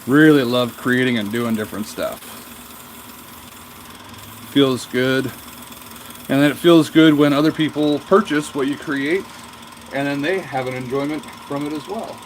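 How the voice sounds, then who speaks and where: A man's voice: medium pitch, a little slow, pauses often, slight background noise. Nasal man chatting from his workshop. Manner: rambling and chatty, answers viewer comments. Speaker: woodturner streaming his work. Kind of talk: casual livestream chat. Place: cold home workshop.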